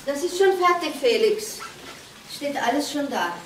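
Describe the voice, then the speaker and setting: An actress playing an evasive, subdued housewife in a radio crime drama. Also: female voice, high-pitched, expressive, a little slow. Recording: noisy old radio drama.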